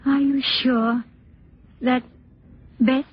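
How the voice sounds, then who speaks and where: An actress playing a gentle, ailing middle-aged aunt in an old radio drama, soft-spoken and resigned. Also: female voice, sits high, flat delivery, extremely slow, husky, full character voice. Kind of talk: 1940s radio drama acting. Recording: muffled old radio recording.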